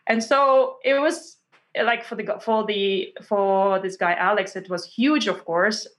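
Woman telling stories in an interview, animated, lots of restarts and ums, mid pitch. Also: measured pace. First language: Russian. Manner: animated, many restarts and fillers, acts out quotes. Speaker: author guest. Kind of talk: conversational interview storytelling.

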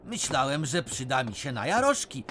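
An actor playing a clever, cheeky miner, crafty and teasing. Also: male voice, medium pitch, sing-song, normal speed, a bit bright, a little hiss or hum. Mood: scheming. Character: clever cheeky Silesian miner Bulandra, adult man. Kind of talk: radio drama acting.

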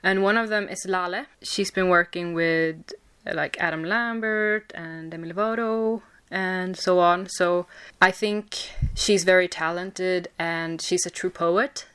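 A young woman with a Swedish accent, answering interview questions casually with many pauses and fillers. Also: fairly low pitch, fairly even intonation, a bit bright, clear, resonant tone. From Sweden. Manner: hesitant, many fillers and restarts. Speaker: podcast guest.

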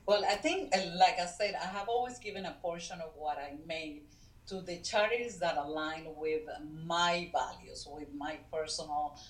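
A middle-aged woman from Venezuela, a guest co-founder of a foundation, speaking natively and talking in an earnest, halting way with many fillers. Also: lowish voice, echoey room, rough recording. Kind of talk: casual interview talk.

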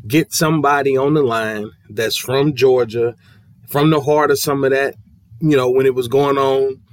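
A man's voice: medium pitch, no echo, studio quality. Laid-back man hosting a podcast. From Kentucky. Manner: laid-back, conversational with fillers. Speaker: podcast host. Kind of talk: casual podcast chat.

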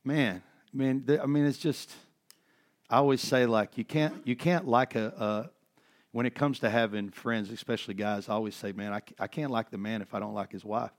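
A man's voice: medium pitch, normal speed. A middle-aged man preaching in a conversational, earnest way, his voice worn from overuse, with many fillers and restarts. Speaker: pastor. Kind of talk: sermon.

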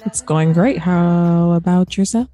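A woman's voice: lowish voice, a little slow, warm tone. A woman chatting casually as a guest on a talk show, conversational and peppering her sentences with "like". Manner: conversational, uses "like" very often. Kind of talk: casual chat.

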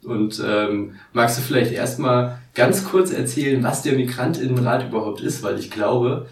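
A man in his twenties hosting a podcast, asking relaxed, friendly questions with fillers. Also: medium pitch, moderate pace, gravelly. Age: 20s. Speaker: podcast host. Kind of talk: casual interview. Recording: roomy echo, mic at a distance.

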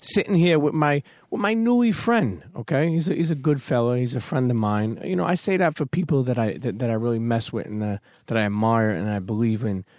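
A man's voice: medium pitch, a little fast, hardly any pauses, darkish tone, no room sound, studio quality. A man hosting a podcast, giving an emphatic motivational talk full of casual swearing before welcoming his guest. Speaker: podcast host.

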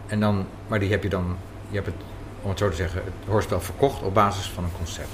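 A man's voice: low voice, steady tone, quick pace. A man interviewing someone informally, putting curious, probing questions. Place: café. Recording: faint background room noise.

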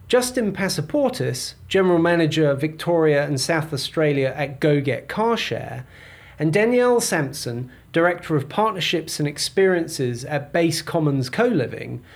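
A man reading a scripted podcast introduction in a polished, promotional way. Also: a little high. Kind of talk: scripted narration. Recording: intro over music.

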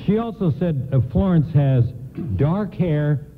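A man's voice: on the high side, expressive, measured pace. An actor playing a friendly, plain-spoken male department store doorman in an earnest, folksy radio play style. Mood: sheepish, explaining. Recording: muffled, faintly noisy radio broadcast.

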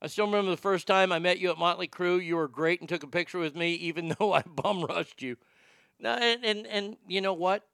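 A man's voice: sits high, fairly quick, gravelly, fairly bright tone, smooth, dry recording, clean studio sound. A man in his fifties from Texas, a radio host telling profane, rambling stories in a casual monologue. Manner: profane, rambling storyteller with many restarts.

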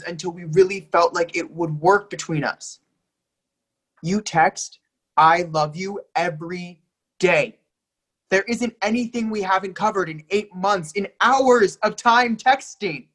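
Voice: medium pitch, husky. An actor playing a lovestruck, pleading young partner, hurt and insistent, in an emphatic readers theatre reading. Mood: distressed, insistent. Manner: emphatic, imploring.

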